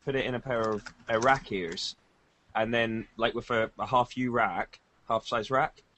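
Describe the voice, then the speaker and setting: A man's voice: medium pitch, fairly even intonation, measured pace, bright, forceful tone, a little hiss or hum. A man co-hosting a podcast in casual, conversational tech talk. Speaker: podcast co-host.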